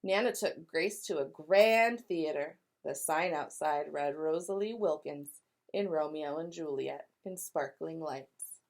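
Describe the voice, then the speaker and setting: A woman's voice: low voice, measured pace. Woman reading a picture book aloud to children. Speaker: elementary school educator reading aloud to pupils.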